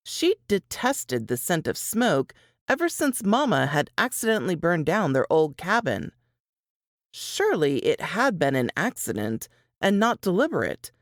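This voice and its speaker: A woman's voice: lowish voice, no room sound, studio quality. A woman narrator reading a novel in a scripted storytelling style.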